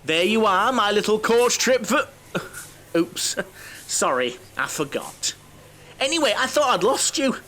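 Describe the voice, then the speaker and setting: An actor playing a naive, lonely bachelor who rambles and overshares in an audio comedy. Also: male voice, high-pitched, very animated, measured pace, pushed and bright, exaggerated voice. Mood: chatty, nosy. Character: chatty, naive, lonely middle-aged bachelor, mother-obsessed coach passenger. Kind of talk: audio drama comedy acting.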